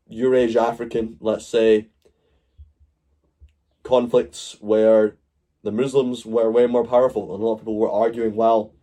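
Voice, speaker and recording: A man from Glasgow riffing on a live stream in a mellow, dark-toned voice. He rambles and jokes, with swearing sprinkled through his chat. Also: on the low side. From Glasgow, Scotland. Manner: rambling, joking, swears freely. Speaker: livestream host. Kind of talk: casual live-stream commentary.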